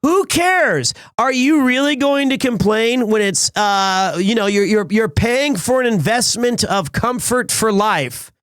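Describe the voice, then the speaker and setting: Male streamer, 30s, a bit hoarse, high-pitched, rambly, dry sound. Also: a little slow, hardly any pauses, no echo. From Wales. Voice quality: slightly raspy. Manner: rambling, restarts often, excitable. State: slightly hoarse after being sick. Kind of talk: casual live-stream chat.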